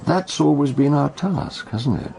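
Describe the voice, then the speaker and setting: A man acting in a radio drama as a cryptic, needling tea-house detective and mentor, speaking in measured aphorisms. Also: fairly high pitch, animated, creaky, warm tone. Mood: probing, philosophical. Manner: measured, aphoristic, teasing, Socratic.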